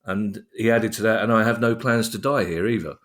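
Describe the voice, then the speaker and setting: A man's voice: lowish voice, a little fast, fairly bright tone. A man hosting a podcast in casual chat, with a wry, wordy, self-deprecating manner. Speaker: podcast host.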